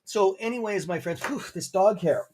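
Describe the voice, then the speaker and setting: A friendly Canadian man talks through a livestream in a loose, filler-laden way. His voice sits a little higher than most men's. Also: lively intonation, normal speed, darkish tone, slightly rough. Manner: rambling, filler-heavy, friendly. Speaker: guest presenter on a stock livestream. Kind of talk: casual livestream chat.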